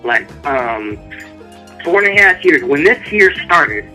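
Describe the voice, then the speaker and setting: A man's voice: fairly high pitch, big pitch swings, slow pace, bright, forceful tone, faint music behind. A man speaking as an author guest in a casual interview, earnest and motivational.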